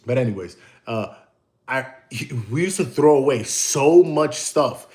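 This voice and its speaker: A man's voice: mid-range voice, expressive, a little slow, a little mellow. An American man hosting a casual livestream, telling long, digressive stories and answering the chat. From United States. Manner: rambling, digressive storyteller. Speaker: livestream host; tattoo artist.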